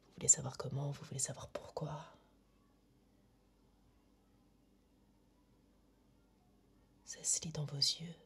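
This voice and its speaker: An actress playing a menacing, eerily calm young witch in a film monologue, speaking in a slow, deliberate, breathy hush. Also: low-pitched, heavily acted. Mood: taunting, calm. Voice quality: breathy, hushed near-whisper. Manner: slow, deliberate, intimate, taunting.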